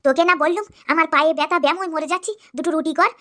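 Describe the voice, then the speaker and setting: A woman's voice: high voice, steady tone, rapid-fire, pushed and bright. A woman voicing a bossy eldest wife in a cartoon who nags and groans about her aches. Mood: scolding. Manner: complaining, nagging, dramatic groaning. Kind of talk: cartoon voice acting.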